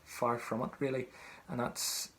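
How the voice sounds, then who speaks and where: A man's voice: medium pitch, little pitch movement, measured pace, a bit bright, a little hiss or hum. A man with a Northern Irish accent from Tyrone gives an informal, conversational lesson as a singing teacher, explaining as he goes. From County Tyrone, Northern Ireland.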